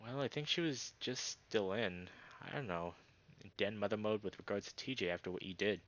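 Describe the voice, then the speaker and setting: A man's voice: on the low side, moderate pace, fairly bright tone, highs cut off, lightly acted. A man voicing an earnest young man in a visual novel, reasoning hesitantly, conciliatory but reproachful. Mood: earnest, placating. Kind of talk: visual novel character read-aloud.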